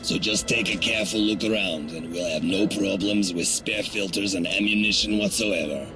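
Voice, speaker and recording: An actor playing a cynical, foul-mouthed smuggler guide in a post-apocalyptic video game, sardonic, blunt and bossy. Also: male voice, low voice, moderate pace, lightly acted. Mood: wry, watchful. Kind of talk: dramatic video game acting. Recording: loud music bed under voice.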